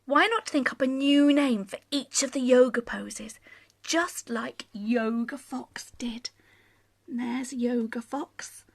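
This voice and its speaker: Woman children's storyteller, high bright voice, slow delivery, enthusiastic, expressive. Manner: gushing, enthusiastic, addressing child listeners. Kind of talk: expressive bedtime story narration for young children.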